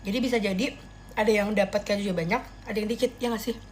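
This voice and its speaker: A woman's voice: medium pitch, fairly even intonation, quick pace, a little hiss or hum. A woman, chatting casually and thinking aloud as she reviews food. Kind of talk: casual mukbang chat.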